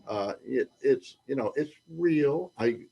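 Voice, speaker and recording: A man in his eighties, host of a newsletter, chatting casually in a rambling way with restarts and emphatic outbursts, getting over an illness and clearing his throat. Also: mid-range voice, lively intonation, slow pace, mellow, muffled recording. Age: 80s. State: recovering from covid, clears throat. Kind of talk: casual chat. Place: Mexico's Baja coast.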